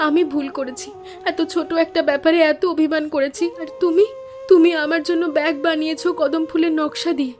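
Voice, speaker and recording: A woman's voice: sits high, level tone, fairly quick, darkish tone, lightly acted. A woman voicing a sensitive, apologetic young niece in a cartoon story, earnest, pleading and sentimental. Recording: music under the voice.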